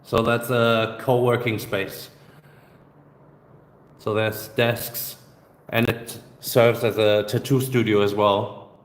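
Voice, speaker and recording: A man in his thirties, a travel vlogger chatting casually on a live stream Q&A, relaxed and hesitant, with many fillers and restarts, filming on his phone in a busy hostel co-working space. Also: fairly low pitch, slow, pauses often, echoey room. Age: 30s. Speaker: travel vlogger answering viewer questions. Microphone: phone camera.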